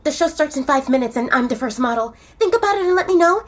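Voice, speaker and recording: A woman's voice: sits high, animated, fast talker. A woman voicing a blunt, confident young she-wolf fashion model in an audio drama, speaking directly and persuasively. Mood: hurried.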